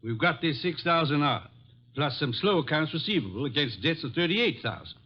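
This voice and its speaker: Husky, calculating business partner. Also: male voice, on the high side, expressive, fairly quick. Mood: coolly decisive. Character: calculating, cool business partner pushing a scheme. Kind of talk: 1940s radio drama acting. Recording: muffled vintage radio recording.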